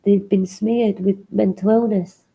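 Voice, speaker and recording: A woman co-hosting a casual talk show, sniffly and congested from a cold, speaking thoughtfully with pauses and fillers. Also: mid-range voice, steady tone, vocal fry, dark tone, dull audio. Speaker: co-host.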